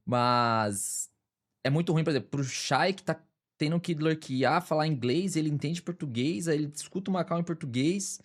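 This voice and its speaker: A man's voice: on the high side, moderate pace. A man from Brazil, a streamer, chatting casually and reacting to a video with lots of slang.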